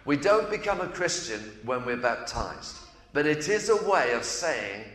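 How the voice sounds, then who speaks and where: A man's voice: fairly high pitch, big pitch swings, a little slow, a bit bright. Man, pastor, baptism sermon, encouraging, echoey room. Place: church.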